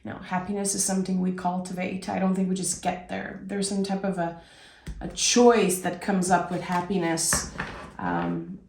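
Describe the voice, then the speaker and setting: A woman from Québec giving a casual tarot reading, her voice raspy as she gets over a cold, talking in a reflective, meandering way. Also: fairly low pitch, level tone, moderate pace, darkish tone, roomy sound. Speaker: tarot reader.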